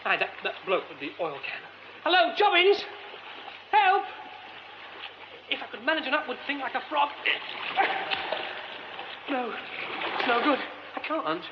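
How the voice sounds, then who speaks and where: A polite, educated British theatre man in a radio drama. His voice is high and lively, expressive and excitable. It comes through a dull, noisy recording. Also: a little slow, long pauses, heavily acted. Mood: panicked. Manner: expressive, muttering exclamations to himself.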